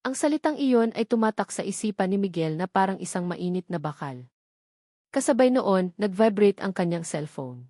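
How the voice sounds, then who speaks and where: A woman with a Filipino accent tells a scripted story in a dramatic style. Her pitch sits in the middle for a woman, and the sound is dry, with little room to it. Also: animated, moderate pace, warm tone, no echo. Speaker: audiobook narrator.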